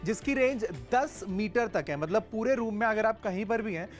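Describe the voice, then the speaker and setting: A man's voice: sits high, expressive, moderate pace, soft background music. A man presenting on a home shopping show, steadily listing product features, price and how to order. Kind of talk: teleshopping sales pitch.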